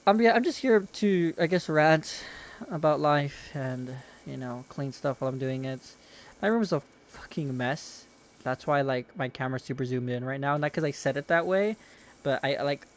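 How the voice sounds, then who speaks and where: A man's voice: medium pitch, a little mellow. A young man casually rambling and chatting to viewers while streaming a game from his bedroom, self-deprecating and talkative.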